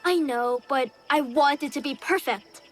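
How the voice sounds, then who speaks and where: Lady doing an eager game kid, really high voice, chatty and earnest, bit of music behind. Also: moderate pace, close to an everyday voice. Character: eager, earnest youngster wanting to please a mentor. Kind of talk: video game cutscene acting.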